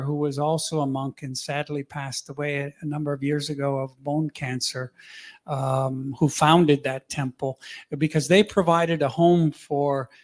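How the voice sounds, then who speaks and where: A man speaking to an audience in a casual, halting way, full of fillers and restarts. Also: fairly high pitch. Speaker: speaker on a panel.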